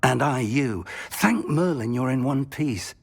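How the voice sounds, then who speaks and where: An actor playing an elderly wizard professor in a game, raspy, earnest and grave. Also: male voice, mid-range voice, lively intonation, a little slow, full character voice. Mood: grave alarm. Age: older. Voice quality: raspy, aged.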